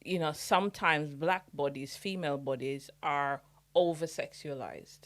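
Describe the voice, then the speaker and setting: A woman co-host chatting on a podcast with a slightly low voice. She talks emphatically and with animation, often leaning on rhetorical questions to make her point. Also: a little slow. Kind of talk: casual chat.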